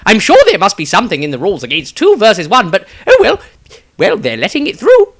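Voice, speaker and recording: A man voicing an excitable, teasing wizard with an animated, mocking manner in a fantasy story. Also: high voice, fast talker, pitched above the performer's own voice, exaggerated voice. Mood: excited gossip. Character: excitable, theatrical male wizard, teasing and sulky.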